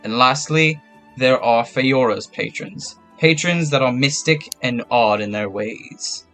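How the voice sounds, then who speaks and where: A man's voice: medium pitch, measured pace, fairly bright tone, low-quality recording. A young man running a tabletop game as game master, describing scenes casually. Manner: casual, loose descriptions with fillers. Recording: faint background music.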